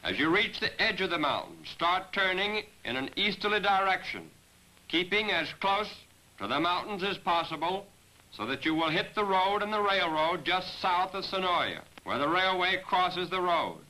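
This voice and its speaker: A man narrating a scripted military training film as a briefing, in a measured, directive manner, on a 1940s film soundtrack. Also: high voice, animated, pushed and bright, rough recording. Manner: measured, directive, addressing aircrews. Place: voice-over recording for a 1943 film.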